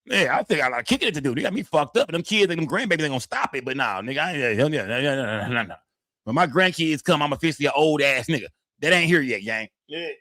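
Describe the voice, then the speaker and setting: A man in his forties talks quickly on a live stream, with a loose, profane, repetitive flow. His pitch sits in the middle of the male range. The voice is close and dry, with almost no room sound. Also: expressive, bright, forceful tone, no echo. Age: 40s. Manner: loose, profane, repeats phrases with tag words like "man" and "fam". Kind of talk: casual live-stream chat, reading the chat aloud.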